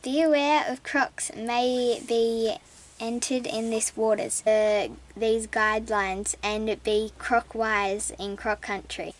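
Young woman, slow halting reading voice, fairly high pitch. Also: steady tone. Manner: halting, stumbling reader. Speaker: daughter of the sailing family. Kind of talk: reading aloud from a phone. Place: inside a boat cabin.

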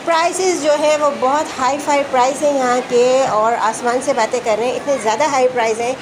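A woman's voice: high voice, steady tone, a little fast, noisy background. A woman hosting a chatty walking vlog through a large indoor mall, mixing English phrases into her talk. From Pakistan. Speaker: vlogger host.

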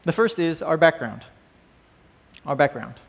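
A man's voice: on the high side, sing-song, unhurried, vocal fry. Chatty man teaching a church class. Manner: conversational, asks the class questions, frequent "right?" and "uh". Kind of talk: church class lesson with opening prayer. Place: church stage with band gear, indoors.

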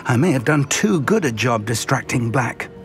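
An actor playing an older wizard ally who reacts with indignation and plans next steps. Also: male voice, mid-range voice, expressive, moderate pace, faint music behind, close to an everyday voice. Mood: concerned.

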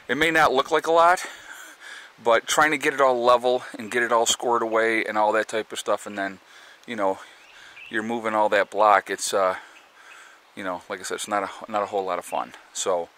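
Chatty man with a bright, forceful voice. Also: lowish voice. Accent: American Northeast. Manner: rambling, chatty, with many fillers and restarts. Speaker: homesteading vlogger. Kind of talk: casual walk-and-talk vlog. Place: outdoors on a rural homestead.

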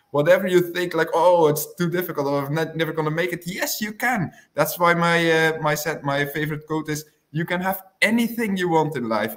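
A man's voice: mid-range voice, fairly bright tone. Man speaking earnestly. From Belgium. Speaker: guest panelist. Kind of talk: earnest unscripted panel talk. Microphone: video call.

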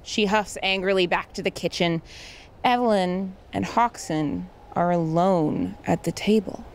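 A woman's voice: on the low side, measured pace, slight background noise. Woman narrating an audio drama script. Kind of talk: scripted narration of stage directions.

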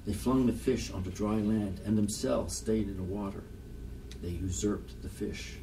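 A man's voice: fairly low pitch, a little slow. A man reading a literary poem aloud as part of a group reading. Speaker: poetry reader. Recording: distant mic, faint background hiss.

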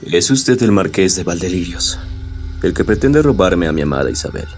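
A man acts a defiant young lover in a period drama. His voice is fairly low, his speech earnest and formal. Faint music sits behind him. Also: big pitch swings, normal speed, darkish tone. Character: proud, defiant young lover in old times. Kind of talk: historical audio drama.

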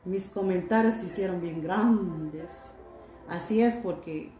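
A middle-aged woman vlogging at home by a window, chatting warmly and reading out viewer comments, recorded on a phone on the table. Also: on the low side, slow, roomy sound. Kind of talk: casual chat to viewers.

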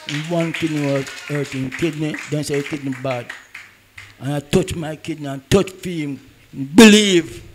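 A man giving an excited testimony to a church congregation. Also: a little high, slight background noise. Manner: excited, repetitive testimony in creole-tinged speech.

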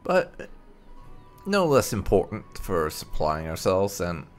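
Male gaming host, very slow pace, animated pitch, casual rambling commentary, thinking aloud. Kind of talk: casual let's play commentary. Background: faint game music.

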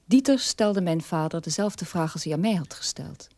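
An actor playing a middle-aged, estranged son in a radio drama, telling his story in a measured way. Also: on the high side, expressive, darkish tone, dry recording. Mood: resigned, estranged.

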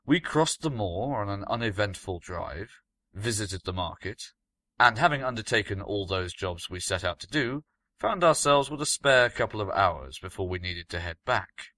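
A man narrates gothic fiction in a bright, forward voice with lively intonation. His delivery is measured and dramatic, recorded dry and close. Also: on the low side, no room sound. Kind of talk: scripted story reading, gothic first-person fiction.

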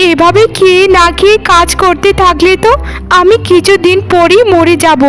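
Lady doing a worn out young cartoon wife, super high voice, pleading and feeling sorry for herself, light music under it. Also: fairly bright tone. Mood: plaintive. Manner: plaintive, pleading. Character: exhausted, self-pitying, overworked young wife. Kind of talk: cartoon voice acting.

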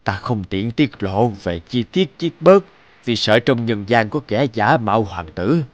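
An actor voicing an elderly, ailing king, regal and doting, in an animated fairy tale. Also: lowish voice, measured pace, dry recording, highs cut off. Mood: moved, commanding.

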